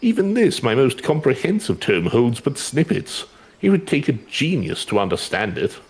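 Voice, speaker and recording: A man's voice: medium pitch, very animated, moderate pace, darkish tone, breathy edge. Man playing a courteous, anxious elderly scholar. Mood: grave, doubtful. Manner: formal, archaic, hesitant wording. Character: elderly male scholar, courteous, earnest, anxious, devoted to research. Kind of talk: fantasy game dialogue read aloud.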